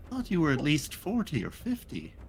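A man's voice: fairly high pitch, huge pitch swings, brisk, vocal fry. A man playing in a tabletop game, teasing the others with incredulous questions. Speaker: player. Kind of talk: casual tabletop game chat.

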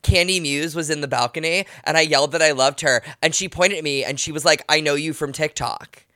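Man, podcast host, casual chat, playful teasing, bright tone, dry close sound. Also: a little high, no echo. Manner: playful, teasing, quick asides.

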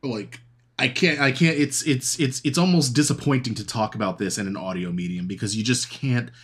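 A man's voice: medium pitch, breathy edge. A man co-hosting a casual chat show about anime, gushing with eager restarts and fillers. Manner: enthusiastic, halting with restarts and fillers. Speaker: podcast co-host.

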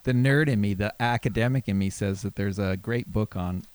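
A man who hosts a podcast and teaches law, talking casually in a monotone, dry and self-deprecating way. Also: fairly low pitch, normal speed, clear, resonant tone, no room sound. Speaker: podcast host and law professor.

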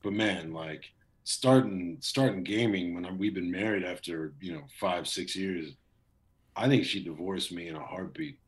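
Deep-voiced man chatting casually. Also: normal speed. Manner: relaxed, rambling, many fillers and restarts. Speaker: voice actor. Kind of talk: casual interview chat. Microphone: video call.

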